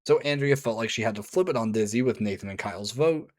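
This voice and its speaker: A man reading a scripted review, with casual offhand asides and a voice gone strained and a little hoarse. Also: medium pitch, fast talker. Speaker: video reviewer.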